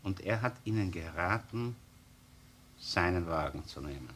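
A man's voice: deep voice, lively intonation, unhurried, a bit bright, slight background noise. An actor playing a wily, insistent Austrian police chief inspector in a crime drama, needling and sarcastic as he interrogates. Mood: pressing. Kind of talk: crime radio or TV drama acting.

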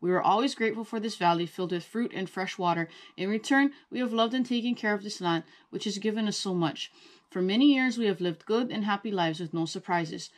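A woman voicing a teasing old grandmother who is hard of hearing, speaking briskly and chuckling. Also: mid-range voice, close to an everyday voice. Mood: fond. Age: older.

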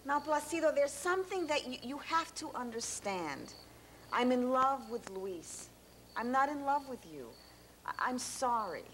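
A woman's voice: high-pitched, sing-song, unhurried, fairly bright tone, exaggerated voice. A woman playing a firm but kind, exasperated object of affection in children's TV comedy, earnest and emphatic, with some background noise. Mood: apologetic, firm.

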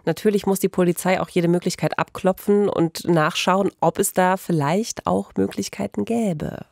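Low-pitched, empathetic female podcast host. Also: normal speed, vocal fry, a little mellow, dry recording, clean studio sound. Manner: empathetic, personal. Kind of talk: true-crime podcast conversation.

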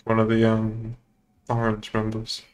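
A man's voice: lowish voice, monotone, a little slow. A young man playing a police boss on a roleplay game stream, chatting casually in an understated, polite but pointed way. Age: young adult. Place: indoors at a streaming desk.

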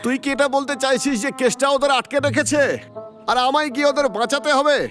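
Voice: high voice, fairly even intonation, quick pace, close to an everyday voice. An actor voicing a clever, protective older-brother bear cub in a children's cartoon dub. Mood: puzzled, impatient. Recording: music behind the voice.

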